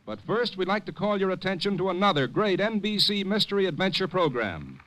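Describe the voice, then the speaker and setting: A man's voice: high-pitched, big pitch swings, fairly quick, fairly bright tone, poor audio. A man announcing in 1950s radio, polished and promotional. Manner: polished, promotional, rising and falling. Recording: old radio broadcast.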